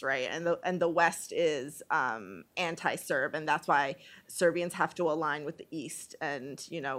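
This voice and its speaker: The low voice of a hesitant, wryly self-deprecating woman from Bosnia and Herzegovina, heard among panelists at a public discussion. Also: fairly bright tone. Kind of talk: informal spoken panel commentary.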